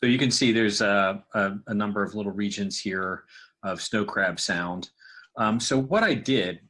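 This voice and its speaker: A man's voice: lowish voice, normal speed, a bit bright. A man giving a casual, informal walkthrough as a podcast creator and sound designer, explaining loosely as he goes.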